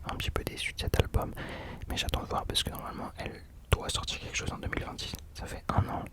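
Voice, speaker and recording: A young man whispering casual ASMR commentary as a host, hesitantly searching for his words. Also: quick pace.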